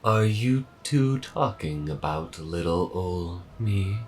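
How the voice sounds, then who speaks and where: Man playing a slow-talking playful male robot. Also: sits low, animated, slight background noise. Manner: drawn-out, oddly timed phrasing. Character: male machine mechanic, playful, odd speech.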